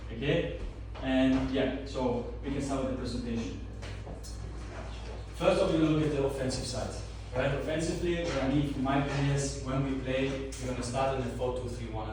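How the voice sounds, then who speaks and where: A young man who grew up in Austria, a football assistant coach giving a tactics presentation to players and quizzing them with repeated questions. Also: medium pitch. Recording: echoey room, mic far off, noise.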